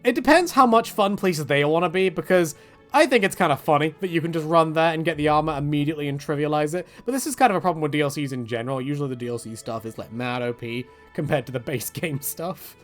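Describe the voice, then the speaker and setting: A man's voice: fairly high pitch, lively intonation, fast, soft background music. A man giving casual, opinionated video game commentary in a rambling, joking, self-deprecating and slangy way. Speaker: gaming video creator.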